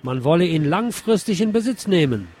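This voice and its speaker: A man's voice: sits high, sing-song, a little fast, mellow, breathy edge. A man hosting a radio programme, commenting on the news and reading press reports aloud. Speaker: radio host.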